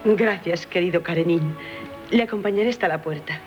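An actress playing a gentle, concerned young sister in a 1970s radio drama. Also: female voice, fairly low pitch, fairly quick, over music, natural voice. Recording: muffled 1978 radio broadcast recording.

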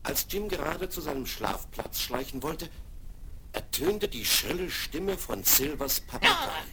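Calm male radio play narrator. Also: a little high, measured pace, background noise. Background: music underneath.

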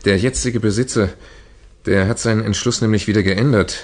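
A man playing a deferential, hesitant house servant in a German radio play, formal and halting, repeating his words. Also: on the low side, fairly even intonation, a little mellow, close to an everyday voice. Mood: reserved, polite.

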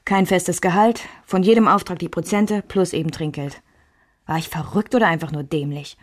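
A woman acting as a self-assured member of the Beat circle, recalling her past in measured, intimate radio play narration. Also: lowish voice, dry recording, studio quality, close to an everyday voice. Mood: reflective.

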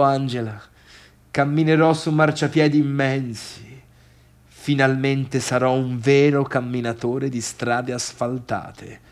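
An actor playing a bitter, unsteady failed man in a theatrical radio monologue, halting and repeating himself between orders and murmurs. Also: mid-range voice, close to an everyday voice. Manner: repetitive, halting, swinging from barked orders to murmurs. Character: bitter, unsteady failed man dismissing his late guests.

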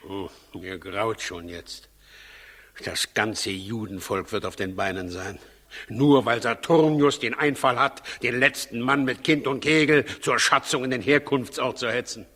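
A man's voice: mid-range voice, a little slow, bright, forceful tone. Imperious, paranoid king, ringing reverb. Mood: gloomy dread. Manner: theatrical, imperious, swinging from outbursts to bitter muttering. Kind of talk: 1960s German radio drama acting. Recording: 1960s radio studio, long reverb.

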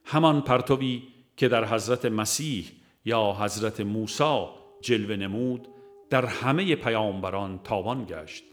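A man narrates a religious audiobook in a measured, formal reading manner. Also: lowish voice. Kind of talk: scripted narration of a religious book.

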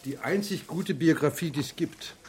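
A man's voice: fairly high pitch, normal speed, darkish tone, slightly rough. An actor playing a bashful, bookish male bookseller who talks hesitantly in a radio drama. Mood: helpful, bashful. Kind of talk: German radio drama dialogue.

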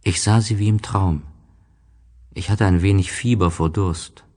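A man narrating a literary story from a script in a measured, reflective way. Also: deep voice, mellow.

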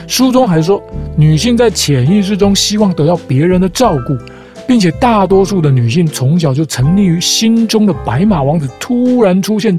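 A man hosts a children's show and walks through ideas with calm, patient explanations, like a teacher. His voice is mellow and warm, with faint music beneath it. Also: sits high. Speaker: host, the show's dad figure.

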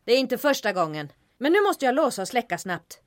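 A woman playing a tough, unflappable bar owner in an audio drama, speaking in a brisk, matter-of-fact way. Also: fairly high pitch, sing-song. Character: tough, unflappable female bar owner hiding grim secrets.

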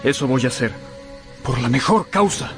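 A man's voice: medium pitch, lively intonation, normal speed, fairly bright tone. An actor playing an earnest, passionate young man in love in a radio drama. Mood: resolute despair. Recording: prominent music under the voice.

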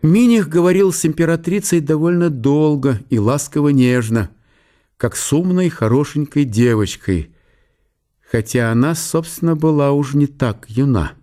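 A man's voice: on the high side, moderate pace, mellow. A man narrating a historical radio drama in a dramatised literary style.

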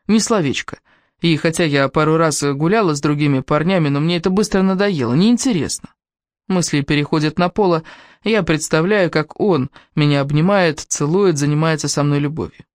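A woman's voice: low-pitched, a little fast, darkish tone, dry recording. Woman reading a novel for an audiobook, first person story, really dry close sound. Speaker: audiobook narrator.

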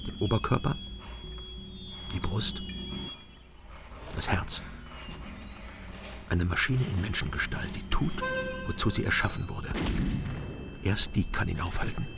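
Low, gravelly brooding male narrator. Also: measured pace, long pauses, loud music behind the voice. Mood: grave, haunted. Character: brooding male narrator recalling his story. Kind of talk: scripted radio drama narration.

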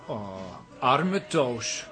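Fretful, dramatic son, very animated. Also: male voice, mid-range voice, very slow, over loud music. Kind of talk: comic radio drama acting.

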